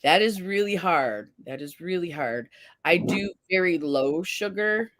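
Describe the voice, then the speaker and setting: A woman's voice: lowish voice, lively intonation, measured pace, fairly bright tone, no echo. Chatty woman hosting a livestream. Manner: chatty, reads viewer comments aloud, laughs often. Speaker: livestream face paint host. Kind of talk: casual livestream chat.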